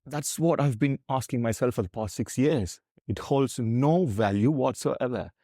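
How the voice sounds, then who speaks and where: A man's voice: medium pitch, normal speed, mellow, no echo, clean studio sound. A man from South India with a South Indian accent and Tamil as his first language, explaining earnestly as a podcast guest. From Tamil Nadu, South India. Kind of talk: candid explanatory conversation.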